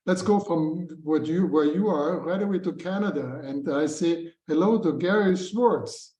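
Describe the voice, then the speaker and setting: A man's voice: on the high side, measured pace, mellow. A man moderating a video call, passing the word from speaker to speaker. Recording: echoey room.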